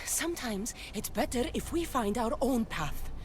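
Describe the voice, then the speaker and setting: A woman's voice: on the high side, lively intonation, moderate pace, a little hiss or hum, lightly acted. An actress playing a determined, principled female warrior hero in a video game cutscene, firm and earnest.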